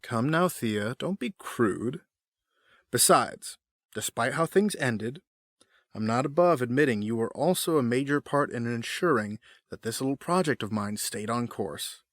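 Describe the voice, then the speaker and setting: A man's voice: medium pitch, animated, measured pace, dry recording, studio quality. A man playing a smug, powerful middle-aged villain in an audio drama, suave, formal and condescending. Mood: smug, taunting.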